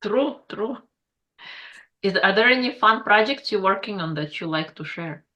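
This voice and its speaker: A woman hosting a podcast interview, asking questions and giving soft affirming replies. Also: medium pitch, animated, moderate pace, muffled recording. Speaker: podcast host.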